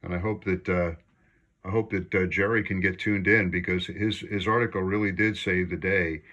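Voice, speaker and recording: A man's voice: low voice, fairly even intonation, moderate pace, pushed and bright, lo-fi sound. A man chatting casually as an amateur radio operator, enthusiastic and appreciative in manner. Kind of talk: casual ham radio conversation.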